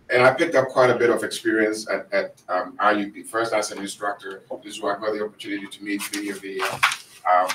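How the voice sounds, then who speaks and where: A low-voiced man in his sixties with a Ghanaian accent speaks in an even, flat tone. He pauses on many fillers as he addresses a board. The sound is roomy and distant. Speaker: board applicant. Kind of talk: public comment at a meeting. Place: school board meeting room. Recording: echoey room from far off, noisy.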